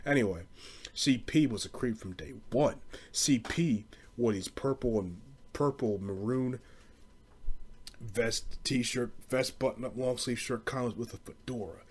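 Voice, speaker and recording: A man's voice: medium pitch, unhurried, a little mellow, slightly rough. A young American man rambling through a casual, profane comedic rant as a podcast host, in slangy speech. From southeastern Pennsylvania, US.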